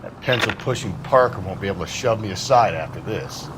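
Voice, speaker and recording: An actor playing a cynical, brash American police detective in a game cutscene, talking bluntly and colloquially. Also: male voice, fairly low pitch, fairly quick, background noise, lightly acted. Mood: excited, brash. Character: gruff, cynical, foul-mouthed male veteran police detective partner. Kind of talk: naturalistic game cutscene acting.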